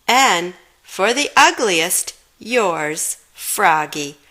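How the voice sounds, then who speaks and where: A woman's voice: on the low side, sing-song, very slow, sharp tone, heavily acted. A woman voicing a kindly female schoolteacher in a children's storybook, addressing children as if announcing to a class. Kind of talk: children's storybook read-aloud.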